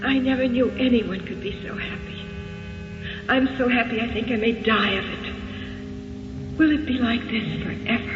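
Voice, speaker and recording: A woman's voice: fairly high pitch, moderate pace, long pauses, over music, close to an everyday voice. An actress playing a girlishly ecstatic woman lost in love, breathless and passionate, in an old-time radio drama. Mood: rapturous. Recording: echoing, dull, noisy old radio recording.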